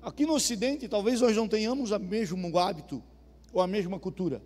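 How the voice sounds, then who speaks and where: A man's voice: sits high, brisk. A man with a southern Brazilian accent preaching a sermon, talking with the congregation and asking them questions. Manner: conversational, asks the congregation questions. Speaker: pastor. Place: church hall. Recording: reverberant church hall with soft music.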